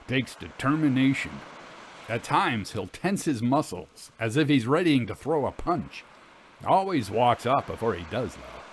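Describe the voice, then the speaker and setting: A man's voice: fairly low pitch, a little hiss or hum, close to an everyday voice. A man playing a plain-spoken, good-humored boatman in a story, with folksy, clipped phrasing. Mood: matter-of-fact. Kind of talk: audio storytelling, acted dialogue.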